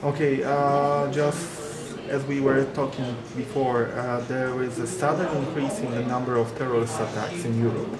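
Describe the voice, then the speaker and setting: A male interviewer at a conference who asks halting questions and answers mostly with short acknowledgements. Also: mid-range voice, flat delivery. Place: conference venue indoors. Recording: echoey conference venue with crowd. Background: crowd chatter.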